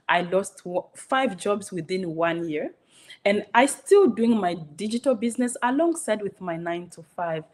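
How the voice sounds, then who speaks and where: A woman's voice: medium pitch, a little mellow. A woman from Congo, a guest speaker giving an earnest, persuasive motivational talk with rhetorical questions.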